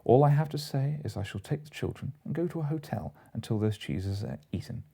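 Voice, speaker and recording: A man's voice: medium pitch, lively intonation, a little fast, vocal fry, mellow, husky. A man voicing an indignant, suspicious married woman in an audiobook, with a firm and proper manner. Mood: indignant, resolute. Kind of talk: audiobook character voice.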